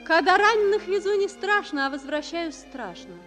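An actress playing a very young army nurse in a wartime radio drama, candid, artless and wistful. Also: female voice, very high-pitched, lively intonation, normal speed, a bit bright, soft background music. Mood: candid, fearful. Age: young adult. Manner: girlish, artless, confiding.

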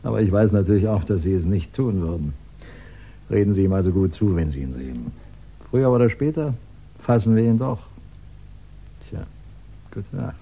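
An actor playing a composed, probing police inspector in a 1960s German radio crime drama, deliberate and dryly polite. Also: male voice, lowish voice, lively intonation, creaky, a little hiss or hum. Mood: dry, measured. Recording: dull vintage 1960s radio recording.

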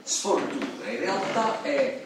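A male actor plays a literate professor in a radio drama. His delivery is slow and deliberate, with a widely swinging, fairly high voice. It sounds like a reverberant hall, recorded from far off with some hiss. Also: big pitch swings, heavily acted. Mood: wry, measured. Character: educated male professor, narrator among Roman suburban folk. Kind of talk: stage-style radio drama monologue and dialogue. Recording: echoey hall from afar, hissy.

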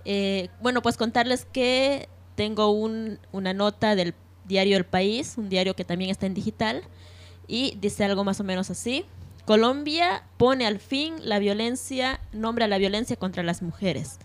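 Female radio host, mid-range voice, semi-scripted news presenting, hesitant and halting phrasing. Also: mid-range voice.

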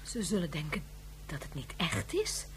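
A woman's voice: fairly low pitch, wildly swooping pitch, normal speed, a little hiss or hum. An actress playing a desperate, pleading mother of a missing baby in a radio drama. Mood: worried, tender. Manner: pleading, emotional, insistent. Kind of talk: Dutch radio drama acting.

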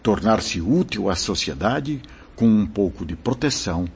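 A low, very husky voice with lively intonation, that of a wise and kindly spirit mentor in a radio drama. He explains things solemnly and formally. The recording is dull and lo-fi. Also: a little slow, full character voice. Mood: solemn, benevolent. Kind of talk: radio drama acting, declamatory.

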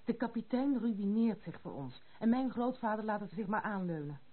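A woman's voice: medium pitch, lively intonation, normal speed, close to an everyday voice. An actress playing a proud, blunt, tomboyish young noblewoman in a Dutch radio play, frank and self-assured. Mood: frank, challenging. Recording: tinny, band-limited, noisy old radio recording.

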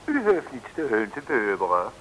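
An actor playing a menacing, gloating professor villain with formal, theatrical speech in a vintage comic radio serial. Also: male voice, on the low side, wildly swooping pitch, moderate pace. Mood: threatening. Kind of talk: 1960s Dutch comic radio serial acting. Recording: hissy old radio recording.

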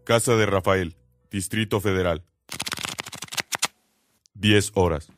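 A man's voice: deep voice, takes it slowly, faint music behind. A man making clipped, scripted scene announcements for a radio drama. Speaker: announcer of scene place and time.

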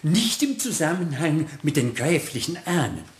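An actor in a radio play voicing an excitable, secretive, formal believer in noble ghosts. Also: mid-range voice, moderate pace, echoey room, slight background noise, natural voice. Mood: hushed, offended. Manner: theatrical, secretive, formal and reverent. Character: excitable, credulous, hushed believer in aristocratic ghosts. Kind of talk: German radio play acting.

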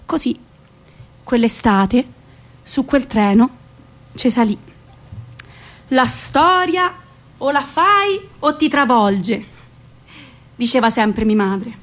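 High-voiced woman, dramatic Roman storyteller. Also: very animated, slow pace, pauses often. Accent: central Italian, Roman. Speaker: stage actress narrating a monologue. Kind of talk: theatrical storytelling, solo radio play.

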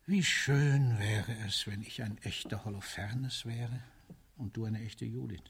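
An actor playing a world-weary, cynical military ruler in a radio drama, speaking in a bitter, deliberate, rhetorical way. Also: male voice, on the low side, gravelly, a little hiss or hum. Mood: brooding, resigned. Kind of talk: theatrical radio drama monologue.

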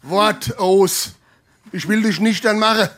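Scolding Hessian creditor. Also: female voice, mid-range voice, slow pace, a bit bright. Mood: angry, demanding. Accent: Hessian, Darmstadt dialect. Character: angry, scolding, hard-nosed creditor demanding payment. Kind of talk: comic dialect stage acting.

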